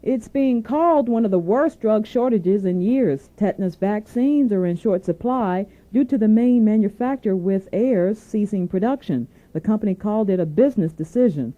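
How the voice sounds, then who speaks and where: A woman anchoring a scripted radio newscast, reading in a formal, measured way. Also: medium pitch, animated. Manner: formal, measured newsreading delivery. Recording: narrow tinny AM radio aircheck.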